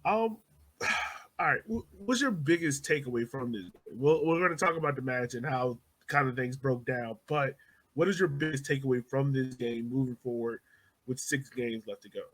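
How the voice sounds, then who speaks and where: A man hosting a casual soccer fan podcast, talking in an animated, playful, slangy way. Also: mid-range voice, moderate pace, low-quality recording. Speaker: sports podcast host.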